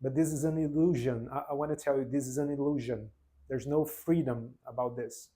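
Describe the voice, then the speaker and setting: Brazilian guy in his 40s, portuguese accent, talks earnest and halting, lots of restarts, just telling his own story off the cuff. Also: mid-range voice, steady tone, dark tone. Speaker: vlogger. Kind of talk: spontaneous personal testimony.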